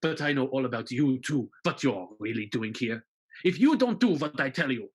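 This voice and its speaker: A man's voice: medium pitch, lively intonation, moderate pace, husky. Man playing pompous, menacing melodrama villain. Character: theatrical male villain, menacing, gloating and pompous.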